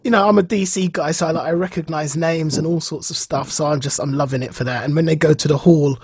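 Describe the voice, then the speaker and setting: A man with a very husky, breathy voice chats as a podcast host, recorded dry and close. He tells stories in an animated, keen way and slips into acting out what people said. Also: on the high side, quick pace, dry recording. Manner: animated storytelling, acts out reported dialogue. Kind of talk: casual enthusiastic podcast chat.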